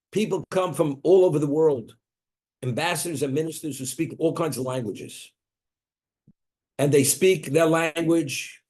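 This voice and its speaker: A man's voice: a little high, a little mellow. Emphatic man teaching a Torah lesson. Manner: repeats and restarts words mid-phrase. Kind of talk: explanatory religious lecture.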